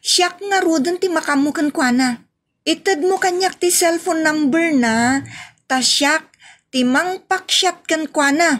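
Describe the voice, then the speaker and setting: A woman's voice: very high voice, a little slow, a bit bright. A woman with a Filipino accent playing a nagging, suspicious and demanding wife in an audio comedy drama. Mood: insistent.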